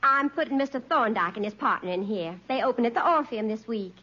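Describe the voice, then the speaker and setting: A chatty, obliging landlady in vintage radio comedy. Her voice is a touch high and genteel. The old broadcast sounds muffled. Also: animated, fairly quick, pushed and bright. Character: obliging, motherly female landlady. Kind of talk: 1940s-50s radio comedy acting.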